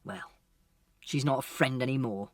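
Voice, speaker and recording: A man's voice: mid-range voice, lively intonation, normal speed, no room sound, clean studio sound, far from the mic, pitched below the performer's own voice, close to an everyday voice. A man voicing a stern, exasperated father who scolds his son in a commanding, clipped way. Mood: weary.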